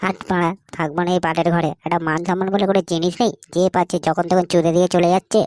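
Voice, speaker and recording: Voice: medium pitch, level tone, very fast, darkish tone, natural voice. An actor voicing a hapless, crude, complaining young married slacker in a comedy cartoon, speaking. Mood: irritated. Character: hapless foul-mouthed young married man, jobless, whining slacker.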